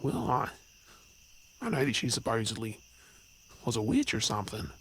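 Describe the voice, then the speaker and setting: A man's voice: mid-range voice, sing-song, moderate pace, breathy edge. A man playing an anxious, blunt young male werewolf in an audio drama, sarcastic and out of his depth. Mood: uncertain, curious. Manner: blunt, agitated, sarcastic, casual swearing. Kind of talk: audio roleplay acting. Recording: background noise with chirping crickets.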